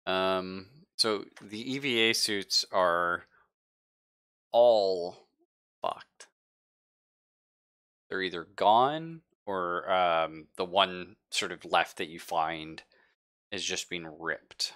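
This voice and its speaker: A man running a tabletop roleplaying game, describing scenes to players in a halting, conversational way. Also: deep voice, pushed and bright, clean tone. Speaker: game master. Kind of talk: improvised narration of a live tabletop roleplaying game.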